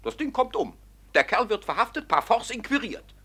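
Swooping, boastful bandit. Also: male voice, extremely high pitch, very animated, moderate pace, pushed and bright, heavily acted. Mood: gleeful boasting. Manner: gloating, swaggering. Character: boastful, gleefully wicked male bandit storyteller. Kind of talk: classic theatrical radio drama.

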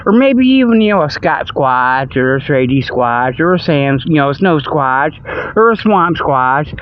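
A man hosting a comic radio-style show, rambling in a folksy, ungrammatical way through mock sponsor reads and casual monologue. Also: sits high. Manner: folksy, rambling, ungrammatical, peppered with "okay" and "you know". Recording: dull lo-fi audio with background noise.

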